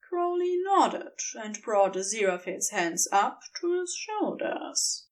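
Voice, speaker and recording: High-voiced, expressive woman narrating fiction. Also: slow. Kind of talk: scripted fiction narration, storytelling.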